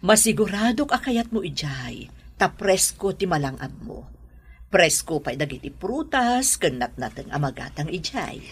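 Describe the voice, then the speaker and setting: A woman playing a caring mother and businesswoman, speaking gently in a radio drama. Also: on the low side, very animated, a little slow, slight background noise. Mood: gentle.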